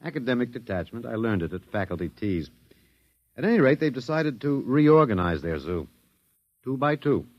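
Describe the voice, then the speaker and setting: An actor playing a wry, detached, philosophical American academic, musing and hesitant, in a 1950s radio drama. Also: male voice, medium pitch, big pitch swings, normal speed, no room sound, lightly acted. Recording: dull vintage 1950s radio recording.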